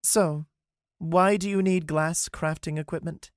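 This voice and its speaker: A man's voice: a little high, steady tone, measured pace, dry recording, clean studio sound, pitched above the performer's own voice, lightly acted. A man voicing a shy, earnest young woman mage. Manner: hesitant, earnest.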